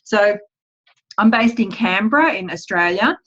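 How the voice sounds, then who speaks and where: A woman hosting a casual group video call, upbeat and quick to praise each guest. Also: medium pitch, animated, a bit bright. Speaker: host of a team video call. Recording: muffled video call audio.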